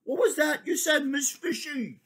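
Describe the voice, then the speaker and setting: Distracted blowfish, storybook voice, high, dismissive, read by the narrator. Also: measured pace, fairly bright tone.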